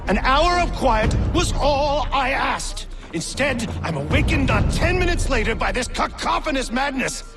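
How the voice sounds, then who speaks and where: An actor playing a pompous, outraged slave-trading villain in a video game, with indignant, florid diction. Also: male voice, very high voice, big pitch swings, measured pace, hardly any pauses, over music, exaggerated voice. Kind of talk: dramatic video game acting.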